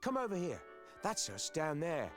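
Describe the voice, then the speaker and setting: A man's voice: a little high, huge pitch swings, moderate pace. An actor playing a friendly, chatty young male blacksmith's apprentice with a folksy, easygoing manner in a fantasy game. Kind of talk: fantasy adventure game voice acting. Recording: game audio with music underneath.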